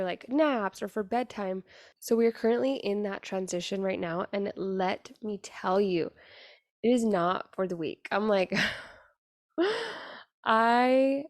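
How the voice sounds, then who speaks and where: A young woman hosting her podcast, talking in a raspy voice with an earnest, chatty manner full of fillers. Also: medium pitch, a little mellow, no room sound, studio quality. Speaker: podcast host. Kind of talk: casual solo podcast monologue.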